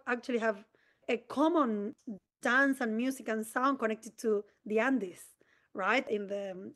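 A woman from Argentina, an interview guest who explains at length in an earnest, enthusiastic way with brief restarts. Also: on the high side. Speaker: community organisation president.

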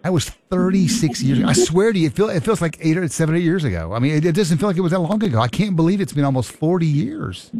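A man's voice: a little high, animated, fast talker, few pauses, darkish tone, dry recording. Rambling man in his fifties hosting radio. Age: 50s. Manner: rambling, repeats words and restarts, teasing. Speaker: radio talk show host. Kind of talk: call-in radio chat. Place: radio studio. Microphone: studio microphone.

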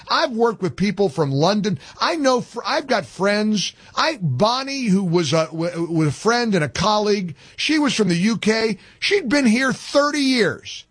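A man hosting a talk radio show in a dry studio, his voice slightly husky and rising and falling a great deal. He argues his point hard and repeats phrases for emphasis. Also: high voice, fairly bright tone, no echo. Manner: combative, repeats himself for emphasis.

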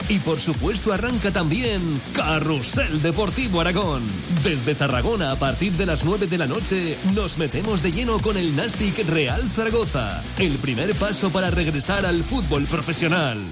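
A man with a Spain accent voices a dramatic sports promo. His fairly high voice lands short, emphatic phrases over loud music. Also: expressive, moderate pace. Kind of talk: scripted dramatic radio promo.

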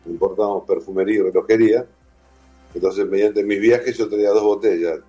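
Interview guest: Argentine man, low mellow voice, chatty and relaxed. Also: a little fast, breathy edge, lo-fi sound, faint music behind. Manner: warm, chatty, explains with lists and repetition. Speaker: guest, whisky museum owner. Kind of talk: casual interview talk.

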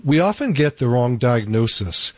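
Male radio doctor host, upbeat pitch, dry studio mic, live call-in energy. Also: sing-song, dry recording. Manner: upbeat, promotional, slows to repeat details. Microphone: studio microphone.

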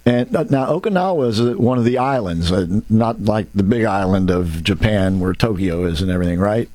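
A man's voice: fairly low pitch, lively intonation, brisk, a little mellow, no room sound. A man hosting a talk show, rambling and folksy, with many restarts and asides. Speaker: radio show host. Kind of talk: casual radio talk show.

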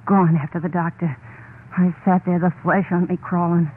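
An actress playing a haunted, unhinged wife confessing to murder in an old radio horror play, intense and halting, insistently repeating herself. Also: female voice, lowish voice, flat delivery, lightly acted. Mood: dread. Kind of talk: old-time radio horror monologue, dramatic first-person narration. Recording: tinny, band-limited old radio recording, faint noise.

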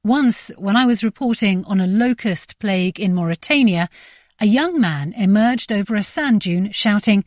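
A woman's voice: mid-range voice, expressive, normal speed, no echo. Composed female radio presenter, dry sound.

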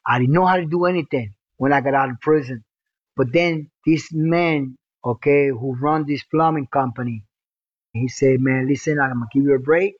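A man in his fifties from Cuba, speaking casually and softly as an interviewee, with lots of fillers. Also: medium pitch, normal speed, no echo. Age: 50s. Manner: casual, soft-spoken, filler-laden. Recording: dull lo-fi interview tape.

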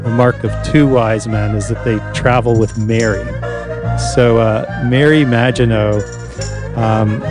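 A man hosting a live radio talk show from the studio, relaxed and chatty, with many pauses and fillers. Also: lowish voice.

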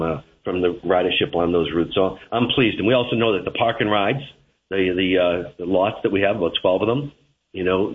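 A man with a Boston accent speaks in a deep, low voice over a tinny phone line. He talks about his work with frequent fillers and false starts, like a guest calling in to a radio talk show. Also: a little hiss or hum. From Boston area. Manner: hesitant, many fillers and restarts. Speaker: transit general manager, interview guest.

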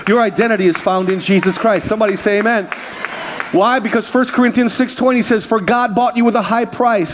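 A male pastor preaching a sermon with energy, reading scripture aloud and calling out to the congregation. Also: high-pitched. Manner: energetic preaching with crowd call-outs.

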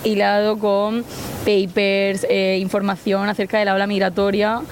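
A woman's voice: medium pitch, monotone, moderate pace, a little hiss or hum. A woman with an accent from Spain explaining informally as an exhibition organiser, often searching for words. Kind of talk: informal spoken explanation in an interview.

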